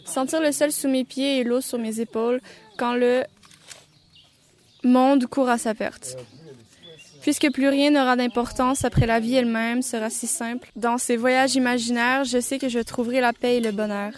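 A woman's voice: a little high, fairly even intonation, moderate pace. A woman narrating her own poetic first-person piece as an art student.

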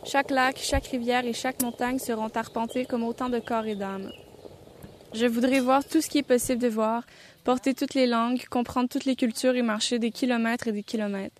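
A woman narrating her own poetic first-person piece as an art student. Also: fairly high pitch.

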